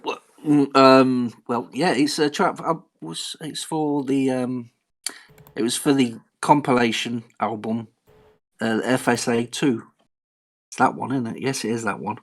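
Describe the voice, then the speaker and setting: Male musician guest, fry-heavy voice, loose deadpan interview chat. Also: medium pitch, unhurried. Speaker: guest musician on a radio show.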